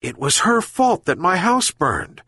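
A man voicing a poor, weak old fisherman in a storybook, speaking gently and wearily. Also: sits high, sing-song, unhurried, breathy edge, no echo, studio quality, voice pushed up, exaggerated voice. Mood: weary. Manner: weary, gentle.

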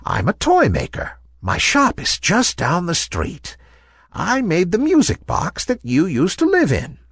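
Guy doing a sweet old lady toymaker, raised pitch, soft kindly storybook voice. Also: wildly swooping pitch, a little slow, no room sound, studio quality, heavily acted. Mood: gentle. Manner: gentle, soothing. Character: gentle old woman toy maker, kindly.

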